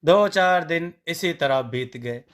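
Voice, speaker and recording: A man narrating a scripted family story in a measured storybook manner. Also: a little high, lively intonation, a bit bright.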